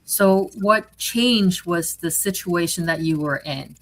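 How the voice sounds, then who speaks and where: A woman's voice: on the low side, slow, a bit bright, rough recording. A woman hosting an interview, asking questions and giving frequent short replies of support. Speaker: host.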